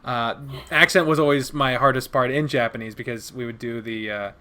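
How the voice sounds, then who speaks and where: A man with a Midwestern American accent hosts a relaxed podcast conversation. His voice sits at an ordinary middle pitch. He swears casually and halts often with "uh" while he searches for words. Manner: casual, swears, many "uh" pauses.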